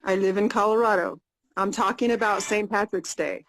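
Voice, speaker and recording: An American woman in her fifties hosting a casual live video chat, upbeat and repeating her questions slowly and plainly. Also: medium pitch, steady tone, a bit bright, low-quality recording. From United States. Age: 50s.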